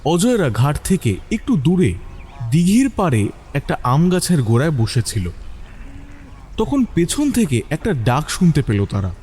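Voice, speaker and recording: Mellow, expressive male story narrator. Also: on the high side, unhurried, slightly rough. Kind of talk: dramatic scripted story narration.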